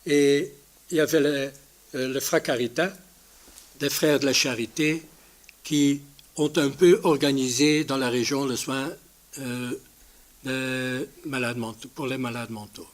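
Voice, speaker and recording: A male conference speaker with a Dutch accent, speaking as a non-native. His pitch sits in the middle range and his delivery is slow and halting, as he searches for words while presenting. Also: fairly even intonation. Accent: Dutch-speaking, non-native French. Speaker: conference speaker from a healthcare organisation.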